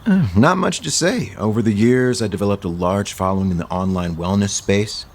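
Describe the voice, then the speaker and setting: A man's voice: lowish voice, moderate pace. An actor playing a guarded, self-assured male wellness coach in an audio soap opera.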